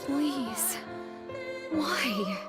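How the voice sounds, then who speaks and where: An actress playing an anguished, regretful twin sister in a dramatic video game, with halting, emotional delivery. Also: female voice, sits high, lively intonation, over loud music, full character voice. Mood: anguished disbelief. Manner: halting, emotional, often dropping to hushed tones. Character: guilt-ridden, anguished twin sister, proud but regretful.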